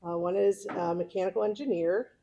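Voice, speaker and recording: A middle-aged American woman speaks informally, as a club member giving a personal talk. Her voice has a noticeable creak at an ordinary pitch, and her manner is chatty. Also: steady tone, fairly quick, dark tone. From California, United States. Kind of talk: informal autobiographical speech.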